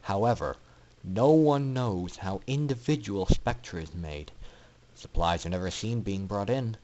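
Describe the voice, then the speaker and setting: A man reads a dark fantasy story aloud. His delivery is theatrical and emphatic, leaning hard into the drama of the scripted narration. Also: on the low side, unhurried, muffled recording, slight background noise. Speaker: amateur story reader.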